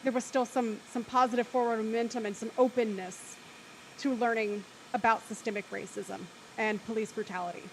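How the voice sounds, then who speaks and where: Higher-pitched, articulate woman moderating. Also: fairly even intonation, fairly quick, a little hiss or hum, low-quality recording. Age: 30s. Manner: careful, wordy, articulate. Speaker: host and moderator. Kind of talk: moderating post-show discussion.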